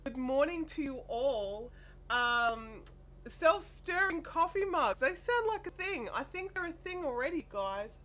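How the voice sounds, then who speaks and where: An Australian woman hosting a casual craft live stream, chatty and apologetic, her voice made deeper and nasal by a chest cold and sore throat. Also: expressive, a little slow, a bit bright. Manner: chatty, apologetic, reads viewer comments aloud. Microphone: desk microphone. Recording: faint air conditioner hum.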